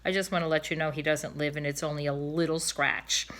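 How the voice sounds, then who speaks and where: Lady reading a kids book out loud, bright punchy voice, kinda low, careful phrasing. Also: steady tone, brisk. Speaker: storybook reader. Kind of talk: expressive children's book read-aloud.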